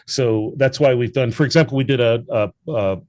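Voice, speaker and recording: A man's voice: mid-range voice, normal speed, darkish tone, no room sound, studio quality. An American man speaking as an interview guest in an articulate, reflective, conversational way. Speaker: interview guest, audio drama producer.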